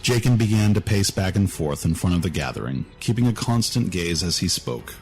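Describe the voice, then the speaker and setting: A man's voice: sits low, lively intonation, fairly quick, a little mellow, faint music behind. A man reading a scripted story as its narrator.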